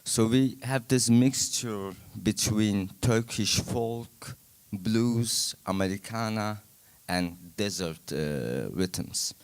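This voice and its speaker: A man speaks slowly in a low, smooth, resonant voice. He answers as a panel guest, soft and halting, pausing often on fillers. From Denmark. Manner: soft-spoken and halting, many pauses and fillers. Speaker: folk musician, panel guest.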